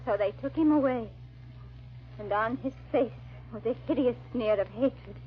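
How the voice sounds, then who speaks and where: A dull, band-limited old radio drama recording carries a high female voice. It is a woman acting a hunted noblewoman, fearful and grieving, with halting, melodramatic delivery. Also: close to an everyday voice. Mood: solemn, sorrowful. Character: frightened, grieving noblewoman hunted by her murderous husband.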